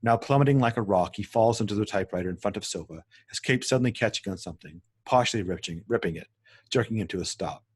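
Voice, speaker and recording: A man's voice: lowish voice, quick pace, slightly rough. A man narrating a screenplay at a table read, reading out scene headings and action as written. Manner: stumbles and corrects himself while reading. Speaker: narrator reading stage directions.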